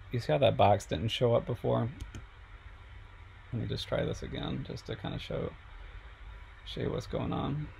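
A man teaching a software class over a screen recording, a little sick and losing his voice, explaining step by step with frequent ums. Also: mid-range voice, vocal fry, breathy edge. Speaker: instructor.

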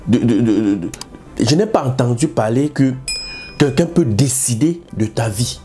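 A man's voice: medium pitch, animated, normal speed, mellow, faint music behind. A man hosting a commentary show, speaking emphatically and repeating his points. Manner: repetitive, emphatic. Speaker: host. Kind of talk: commentary monologue.

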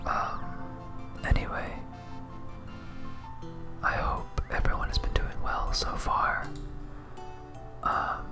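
Someone whispering softly and breathily in hesitant, rambling, self-deprecating ASMR commentary while playing a game. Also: slow, long pauses, over music. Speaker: host, game streamer.

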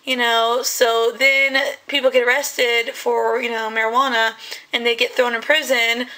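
A woman hosting her channel, giving heated, rambling commentary in a hoarse voice that is still coming back. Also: a little high, flat delivery, unhurried, pushed and bright. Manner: heated, rambling, many fillers. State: hoarse, voice recovering. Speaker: channel host. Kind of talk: casual commentary.

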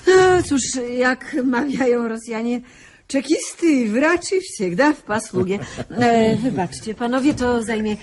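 An actress playing an effusive female doctor in a radio play, halting and repeating her words. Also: a little high, a little mellow. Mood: curious, halting. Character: breezy female doctor pouring drinks during office hours. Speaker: actor in a radio drama.

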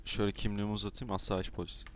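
Fast-talking man with a lowish voice. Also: flat delivery. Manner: mock-formal, theatrical, repeats himself. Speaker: YouTuber playing an online roleplay game. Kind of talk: improvised in-game roleplay banter.

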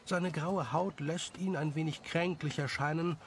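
A man reading scripted story narration as the narrator, with a creaky voice. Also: on the high side, fairly even intonation, measured pace, a little hiss or hum.

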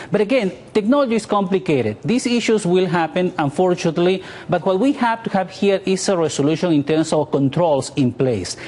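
A man's voice: a little high, a little mellow. A man in his forties speaking as a guest commentator in a live TV news interview. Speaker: author and market commentator guest.

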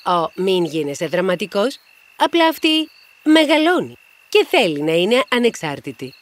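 A woman voicing a practical, measured mother who calms her family in a cartoon fairy tale. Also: medium pitch, close to an everyday voice. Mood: gently chiding.

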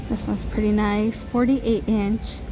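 A woman vlogger chatting casually as she films a store walkthrough on a handheld phone, reading out prices and laughing softly. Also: mid-range voice, level tone, measured pace, background noise. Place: large retail store, indoors. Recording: store music over the voice. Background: holiday music playing in the store.

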